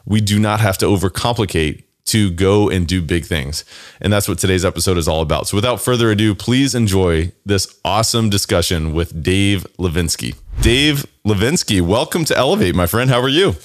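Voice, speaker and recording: A man hosting a podcast, energetic and motivational as he introduces a guest and asks questions. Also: deep voice, moderate pace, dry recording, clean studio sound. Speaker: podcast host. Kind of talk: upbeat podcast interviewing.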